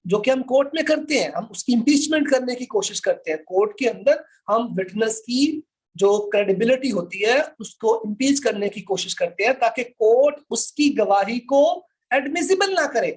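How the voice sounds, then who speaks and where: A man's voice: extremely high pitch, lively intonation, normal speed, breathy edge. A man with a Pakistani accent lecturing students online, explaining didactically, repeating points for emphasis and mixing in English terms. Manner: mixes English terms into speech. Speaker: law lecturer.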